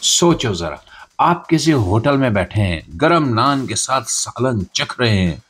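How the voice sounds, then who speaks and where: A man's voice: medium pitch, very animated, takes it slowly, fairly bright tone, slightly rough. A man with a Pakistani accent delivering satirical news commentary as a podcast host, in a sarcastic, theatrical, rhetorical manner.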